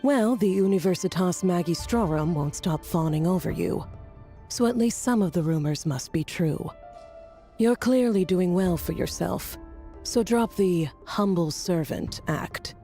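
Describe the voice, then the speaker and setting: Sardonic lady alchemist for a game, soft dark tone, sly and articulate, bit of music behind. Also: lowish voice. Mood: pointed, sardonic. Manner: dry, barbed, articulate. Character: cool, sardonic female alchemist scholar, provocative and shrewd. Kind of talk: video game voice acting.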